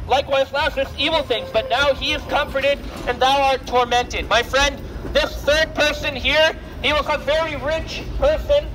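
A young man with a strikingly high voice preaches on a city street. He projects earnestly in a declamatory sermon style, repeatedly addressing the listener. Heavy traffic noise surrounds him. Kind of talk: open-air gospel sermon. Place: outdoors on a busy city sidewalk.

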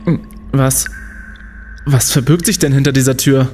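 A man's voice: medium pitch, fairly even intonation, moderate pace, darkish tone, soft background music, close to an everyday voice. An actor playing a young male student adventurer in an audio drama, boyish and colloquial. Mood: nervous.

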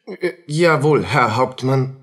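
An actor playing a poor, submissive, troubled common soldier in a radio play, speaking in an earnest, deferential, halting way. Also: male voice, mid-range voice, animated, a bit bright, husky, full character voice. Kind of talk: German radio play acting.

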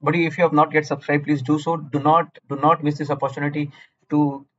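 A man's voice: a little high, level tone, fairly quick, a bit bright, muffled recording, slight background noise. A man with an Indian accent tutoring through exam questions, blunt and repetitive, scolding the listener at times. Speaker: cloud certification tutor. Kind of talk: screen-recorded exam walkthrough.

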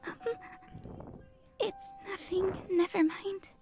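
A woman's voice: very high-pitched, fairly even intonation, slow, warm tone, soft background music, exaggerated voice. A woman playing a timid, polite young girl in a game, with a hesitant, meek manner. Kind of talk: visual novel voice acting.

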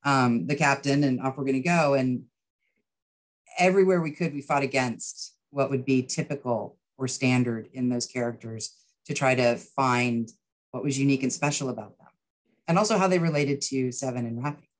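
Middle-aged female writer with a low-pitched voice, conversational interview guest on a video call. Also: moderate pace, low-quality recording. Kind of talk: casual interview chat. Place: indoor room with bookshelves. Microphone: video call microphone.

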